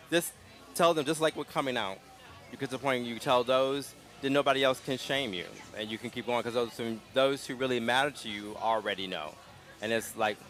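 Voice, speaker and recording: A man hosting a casual live interview at an outdoor street festival, speaking in a calm, gentle, unhurried way. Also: medium pitch. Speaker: interview host.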